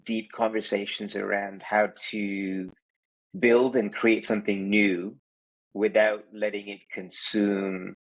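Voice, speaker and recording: A man from Kenya talks through his background as a podcast guest, his voice sitting on the low side. He speaks in a thoughtful, winding way, dotting his sentences with "you know". The sound is that of a video call. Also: little pitch movement, takes it slowly, rough recording. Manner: thoughtful, meandering, many "you know" fillers. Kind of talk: reflective conversational storytelling.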